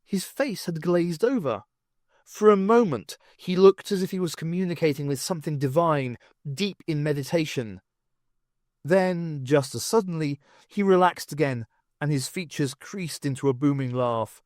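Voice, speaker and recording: A man reading an audiobook aloud, narrating with an expressive, lively delivery. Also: on the high side, moderate pace, no room sound, clean studio sound. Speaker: audiobook reader. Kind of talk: storybook narration.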